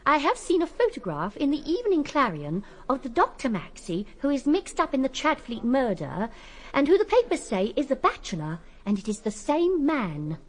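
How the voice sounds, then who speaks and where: Prim, high-voiced hotel landlady. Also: wildly swooping pitch, normal speed. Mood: prim, indignant. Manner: formal, primly genteel. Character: prim, respectable female hotel keeper, anxiously dutiful. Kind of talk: radio drama, reading out a formal letter.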